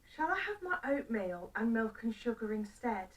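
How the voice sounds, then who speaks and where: A woman's voice: fairly high pitch, very animated, normal speed, far from the mic. A woman voicing a hungry, fussy little old man in a children's story, exclaiming and grumbling to himself. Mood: puzzled, hopeful.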